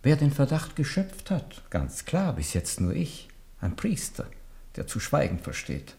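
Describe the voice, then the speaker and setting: An older man in a radio drama playing a bowed old papal legate, formal and deliberate, humble on the surface but coldly warning. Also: mid-range voice, wildly swooping pitch, creaky, warm tone, exaggerated voice. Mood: quietly menacing. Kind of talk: 1970s German radio drama acting.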